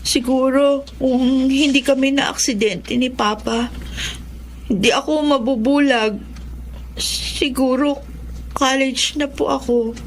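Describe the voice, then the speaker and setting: A woman's voice: sits high, steady tone, takes it slowly, a little hiss or hum, poor audio. A woman playing a sad, polite blind teenage girl in a Filipino radio drama, with a plaintive, respectful manner. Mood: sorrowful.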